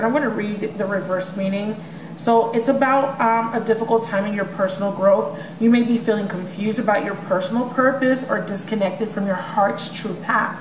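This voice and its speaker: A woman giving a casual tarot love reading to her viewers, chatty and full of ums, with a voice that may crack from overuse. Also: medium pitch. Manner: chatty, many ums, addresses viewers as you guys. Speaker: tarot reader. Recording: echoey room with noisy background.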